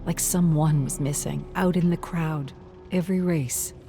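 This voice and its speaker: A narrator reads a dramatic story in a medium-pitched voice. The delivery is measured and wistful, with faint music underneath. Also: steady tone, mellow.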